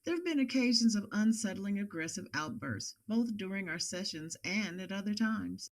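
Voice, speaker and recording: A woman playing a guarded professional psychologist testifying in a courtroom drama, formal and measured. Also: medium pitch, animated, lightly acted. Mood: grave, composed. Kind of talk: audio drama acting.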